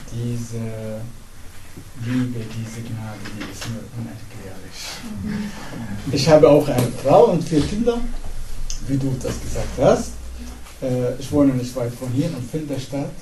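Slow, earnest man with an Arabic accent. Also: medium pitch. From Syria. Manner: earnest, halting, with pauses and fillers. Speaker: pastor. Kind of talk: evening talk to a congregation. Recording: echoey hall, distant mic, faint noise.

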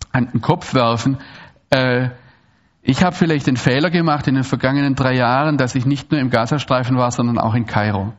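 A man from Swabia, giving an animated, digressive talk to an audience with frequent restarts and asides. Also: medium pitch, muffled recording. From Swabia, Germany. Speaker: speaker giving a public talk. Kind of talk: lecture to an audience.

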